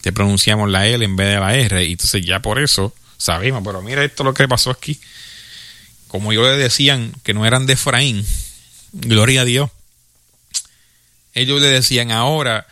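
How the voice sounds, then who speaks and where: A man with a Puerto Rican accent preaching a sermon, reading scripture aloud and explaining it, filling his pauses with hallelujahs and chuckles. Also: medium pitch, bright, forceful tone, no room sound. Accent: Puerto Rican Spanish. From Puerto Rico. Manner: fills pauses with "hallelujah" and "glory to God", chuckling often.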